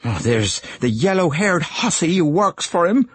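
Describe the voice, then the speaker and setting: A man's voice: a little high, very animated, darkish tone, husky, dry recording, voice pushed up, full character voice. Man voicing a fretful, anxious middle-aged wife. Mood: indignant. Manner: fretful, gossipy, quick to take offence. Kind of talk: audiobook character voice.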